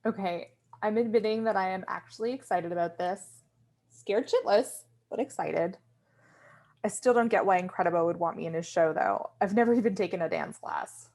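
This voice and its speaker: A woman's voice: medium pitch, moderate pace, a little mellow, close to an everyday voice. A woman playing a nervous, sardonic young office worker in a screenplay table read, speaking in a casual, wry way. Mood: nervous, excited.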